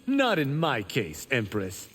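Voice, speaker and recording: A man's voice: mid-range voice, huge pitch swings, slow pace, very noisy. An actor playing a proud, boastful young male martial arts champion in a video game, with a smug, self-assured, grand delivery. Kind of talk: video game character dialogue.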